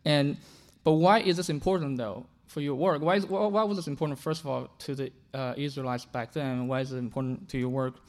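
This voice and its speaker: A man's voice: medium pitch, fairly quick, few pauses, a little mellow. A man from China, whose first language is Chinese, preaching a sermon earnestly, often restarting and repeating words. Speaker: pastor.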